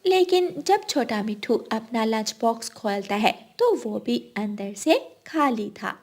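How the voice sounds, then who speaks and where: A woman's voice: fairly high pitch, lively intonation, measured pace, a little mellow. A woman narrating a scripted children's cartoon story.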